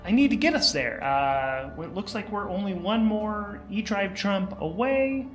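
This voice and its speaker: A man voicing an earnest, searching male bounty hunter aboard a starship in an improvised audio drama, thinking aloud haltingly. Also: high voice, lively intonation, echoey room, faint music behind, pitched above the performer's own voice. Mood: musing, determined.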